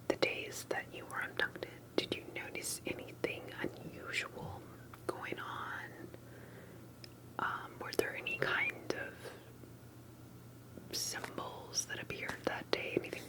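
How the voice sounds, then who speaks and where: Someone whispering softly in an ASMR roleplay as an earnest, cautious researcher interviewing with deliberate, halting questions. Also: very high voice, low-quality recording. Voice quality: soft breathy whisper. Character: earnest, cautious alien abduction researcher and survivor. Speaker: ASMR performer playing an interviewer.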